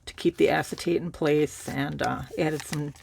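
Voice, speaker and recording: A woman with a cold and a raspy voice, casually showing a handmade journal and rambling through it with fillers. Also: sits low, normal speed, a little hiss or hum. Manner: relaxed, rambling, with many fillers and restarts. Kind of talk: casual show-and-tell.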